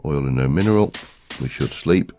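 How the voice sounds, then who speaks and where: A man's voice: very deep voice, lively intonation, moderate pace, warm tone, a little hiss or hum. A man with a stuffy head cold, hosting a gaming video with casual, rambling commentary and lots of ums. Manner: rambling, talks to himself while searching, many ums.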